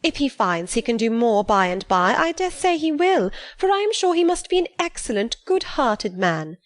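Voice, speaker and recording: A woman reading an audiobook, voicing a fond, fussy middle-aged mother who rambles on with flattery. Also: a little high, moderate pace, no echo, voice pushed up. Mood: doting.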